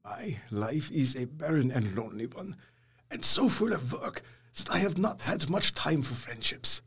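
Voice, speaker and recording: An actor playing an effusive, courtly older foreign doctor in a gothic audio drama, with florid, earnest phrasing. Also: male voice, mid-range voice, lively intonation, normal speed, fairly bright tone, breathy edge, full character voice. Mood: earnest, solemn.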